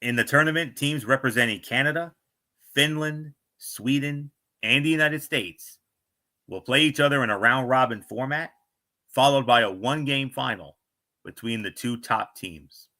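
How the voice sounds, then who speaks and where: A man's voice: medium pitch, normal speed, sharp tone. A man hosting a sports talk show, reading out team rosters with small stumbles and chatty asides. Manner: reads names with stumbles and asides.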